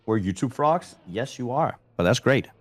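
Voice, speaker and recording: A man's voice: mid-range voice, expressive, normal speed. A man from Denmark, a streamer, chatting casually with his viewers, answering their questions aloud and poking fun at himself.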